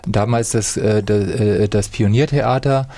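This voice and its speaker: A man's voice: on the low side, steady tone, a little slow, warm tone. A middle-aged man from Saxony-Anhalt, a radio host telling old stories in casual chat and stepping in to correct his friend. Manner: storytelling, interrupts to correct. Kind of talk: reminiscing chat.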